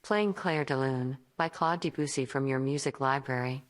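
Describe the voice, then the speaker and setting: A woman playing a calm, impassive female AI assistant in a radio play, speaking in a formal, deadpan manner. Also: very deep voice, moderate pace.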